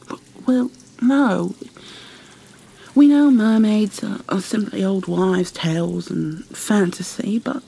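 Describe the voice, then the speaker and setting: Woman playing a slow, tentative cave explorer. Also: mid-range voice, vocal fry, dark tone, rough recording. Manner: tentative, apologetic, halting. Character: polite, curious, hesitant cave explorer. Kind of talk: ASMR roleplay acting. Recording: faint ambient background noise.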